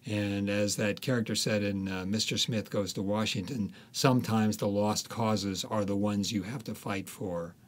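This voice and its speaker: Male author in interview, fairly low voice, conversational and thoughtful, halting delivery. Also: steady tone. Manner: halting, deliberate, pausing often. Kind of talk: reflective conversational explanation.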